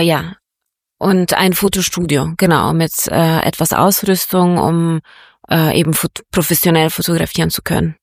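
A woman's voice: fairly low pitch, no room sound, studio quality. A woman from Italy being interviewed, speaking in a hesitant way with many fillers. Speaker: interview guest. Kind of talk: casual interview.

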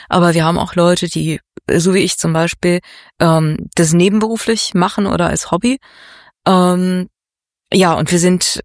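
A woman's voice: lowish voice, no room sound, studio quality. A woman speaking casually as an interview guest from an art collective.

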